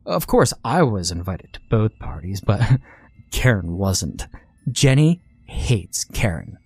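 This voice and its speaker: A man voicing a chatty little girl who bursts into tears, in a high childish voice. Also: big pitch swings, slow pace, husky, dry recording, heavily acted. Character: whiny little girl, chatty then sobbing.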